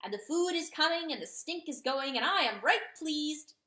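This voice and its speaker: A woman's voice: high voice, expressive, sharp tone, voice pushed up. A woman voicing a stern male rooster who commands and hisses his orders. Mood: cheerful. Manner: brisk, commanding and hissing. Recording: roomy echo.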